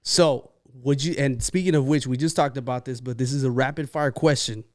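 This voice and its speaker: A man's voice: mid-range voice, fairly even intonation, brisk. A man hosting a podcast interview in a studio. He talks in an upbeat, chatty way and often echoes his guest's answers back. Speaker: podcast host. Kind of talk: casual interview chat.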